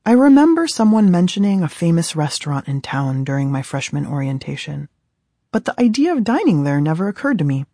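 A woman's voice: lowish voice, mellow, no echo. An American woman in her thirties from Southern California, reading her own book aloud as scripted audiobook narration in a studio. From Southern California, USA. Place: dry recording studio.

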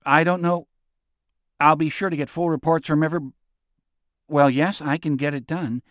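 A gruff, hot-tempered military chief, acted out by a male narrator. He speaks in curt, menacing barks at a slightly high pitch, recorded very dry, as in an audiobook. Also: steady tone, normal speed, fairly bright tone, dry recording, natural voice. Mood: harried, deferential.

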